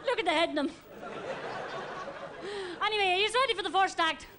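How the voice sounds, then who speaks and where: A woman playing a loud, cheeky young Irish pop singer with a Dundalk accent, hosting a live comedy show. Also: very high-pitched, brisk, over a noisy crowd. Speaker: host. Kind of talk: stand-up character act. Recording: live stage with audience noise.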